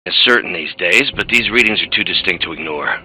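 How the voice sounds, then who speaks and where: A man's voice: sits low, animated, fairly quick, sharp tone, full character voice, poor audio. An actor playing an earnest, serious male scientist in a video game, reporting with precise urgency. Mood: urgent. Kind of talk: scripted video game voice acting.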